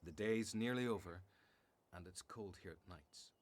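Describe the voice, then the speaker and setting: A man's voice: sits low, normal speed, natural voice. A man acting in a radio Bible play as a courteous, hospitable member of an ascetic desert community. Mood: welcoming, hospitable. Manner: courteous, welcoming.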